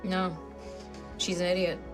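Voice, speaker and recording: A woman's voice: on the low side, little pitch movement, unhurried, over music. A woman playing a tabletop roleplaying game, chatting casually and playfully. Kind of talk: casual playful in-character chat.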